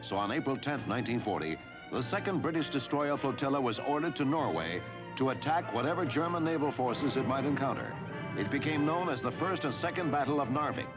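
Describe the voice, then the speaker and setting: A man narrates a historical newsreel in a formal, measured way. His medium-pitched voice moves through lively rises and falls. Music plays behind his narration. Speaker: documentary narrator.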